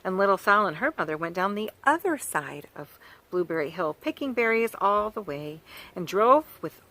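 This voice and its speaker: A woman reads a children's storybook aloud with a lively, rising and falling voice. She talks gently to young listeners, pausing to point out the pictures as she goes. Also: mid-range voice. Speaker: children's author reading aloud. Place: farm.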